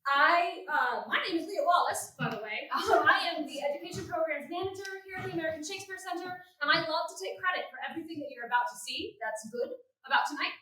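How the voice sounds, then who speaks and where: High, bright-voiced woman welcoming an audience. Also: quick pace, slight background noise. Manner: emphatic, enthusiastic. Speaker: education programs manager introducing a show. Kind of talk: live welcome speech to an audience. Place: theatre stage before an audience. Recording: echoey theatre, distant microphone.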